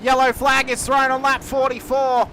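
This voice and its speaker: A man giving live commentary on a sim race, cheeky and quick to crack jokes. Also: extremely high pitch, completely flat delivery. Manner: cheeky, joking, quick to laugh. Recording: engine noise under the voice.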